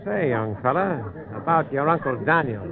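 An actor playing a shrewd, hard-bargaining circus candy seller with a folksy, wheedling manner in vintage radio style. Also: male voice, medium pitch, animated, moderate pace, over music, natural voice. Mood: sly, persuasive. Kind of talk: 1940s-50s radio drama acting. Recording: tinny old radio recording.

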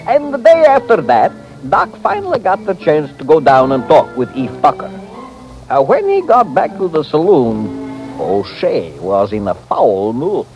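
An actor playing the narrator of an old-time radio western, telling a frontier tale. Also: male voice, fairly high pitch, huge pitch swings, measured pace, darkish tone. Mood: storytelling. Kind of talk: 1940s-50s radio drama narration. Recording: dull vintage radio broadcast. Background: music.

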